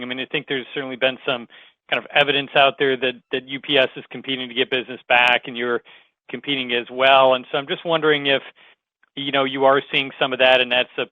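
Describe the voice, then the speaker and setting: Male analyst, mid-pitched, earnings call question, dry phone-line sound. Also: dry recording. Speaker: financial analyst.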